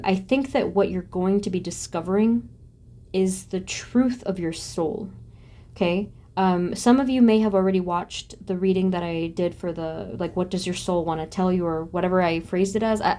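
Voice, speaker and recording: A woman reading tarot cards for her viewers, talking softly and haltingly with many pauses and restarts. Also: lowish voice, fairly even intonation. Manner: soft, halting, with frequent ums and restarts. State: voice briefly going hoarse and quiet. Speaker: tarot reader. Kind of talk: pick-a-card tarot reading.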